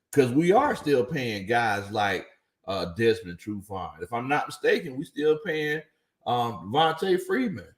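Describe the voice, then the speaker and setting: A man hosting a sports livestream, reading viewer chats in a casual way and laughing often. His voice sounds a little worn out. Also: mid-range voice. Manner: laughs often, chuckling through his lines. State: voice slightly worn out. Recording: echoey room.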